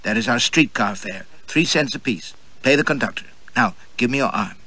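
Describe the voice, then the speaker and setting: A man's voice: mid-range voice, animated, moderate pace, pushed and bright, breathy edge. A man voicing an imperious, short-tempered woman in an audiobook, snapping out curt, scornful orders. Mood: tense, bossy. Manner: curt, clipped, rapped-out orders.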